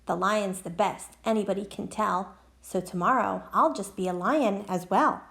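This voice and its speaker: A woman's voice: medium pitch, moderate pace, vocal fry, a bit bright. A woman voicing an eager, boastful male emu in a children's picture book read-aloud. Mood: eager, decided.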